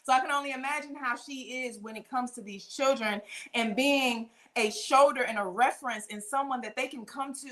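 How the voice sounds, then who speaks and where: A woman talk show host with a high, bright voice. She talks straight to her audience in an emphatic, conversational way, often repeating phrases for weight.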